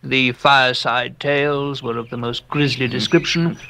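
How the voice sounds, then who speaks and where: An actor playing an excitable, erudite captain who relates dark local legends in a theatrical, halting way for an audio drama. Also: male voice, mid-range voice, slight background noise. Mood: erudite, intrigued. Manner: theatrical, halting, relishing grisly detail. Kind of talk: audio drama dialogue, storytelling.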